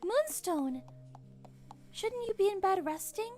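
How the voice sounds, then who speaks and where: A woman playing a kind, humble young pony queen in an animated drama, speaking softly and sincerely. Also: extremely high pitch, slow, soft background music, exaggerated voice. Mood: warm affection. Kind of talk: animated fan drama dialogue.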